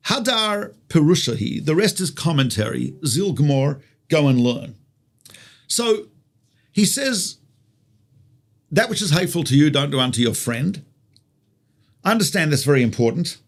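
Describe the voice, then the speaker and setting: An Australian man teaches as a rabbi in a conversational, storytelling way. His voice sits a little high for a man and has a clear gravelly fry. He poses rhetorical questions and repeats key phrases for emphasis. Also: sing-song, normal speed, long pauses.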